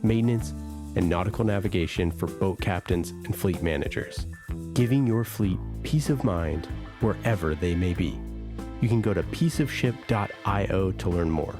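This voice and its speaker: Deep-voiced male announcer over music. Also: normal speed. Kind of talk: scripted podcast ad and credits read.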